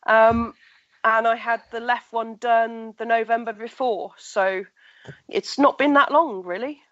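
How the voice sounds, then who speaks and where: A woman's voice: fairly high pitch, steady tone, unhurried, fairly bright tone, no echo. A woman speaks with a stuffed-up, nasal tone, easy and chatty as a guest on an online radio show. The sound is dry and close, but dulled and a little lo-fi, like audio over a call. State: blocked nose, nasal. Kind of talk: casual interview talk.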